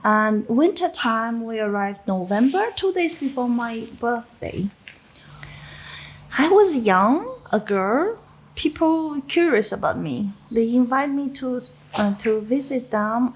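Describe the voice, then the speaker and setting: A middle-aged woman with a Chinese accent speaks English at an unhurried, measured pace. Her voice sits fairly high for a woman. She pauses often on fillers and breaks into soft little laughs as she reminisces in an interview. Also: animated, slightly rough, poor audio. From China. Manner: halting non-native English with frequent fillers and soft laughs. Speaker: interviewee, winemaker.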